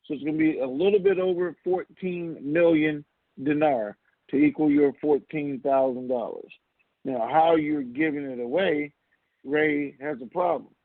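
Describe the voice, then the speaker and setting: Man, blunt explainer on a conference call, creaky voice, thin dry telephone audio. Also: fairly high pitch, sing-song, normal speed, dry recording. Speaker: co-host answering listener questions. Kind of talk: casual explanatory call-in talk. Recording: narrow, lo-fi phone line.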